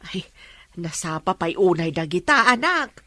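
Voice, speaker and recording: An actress playing an older, fretful but gentle village mother in an Ilocano radio drama, with an Ilocano accent. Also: on the low side, big pitch swings, measured pace, dry recording, heavily acted. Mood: mild, hesitant.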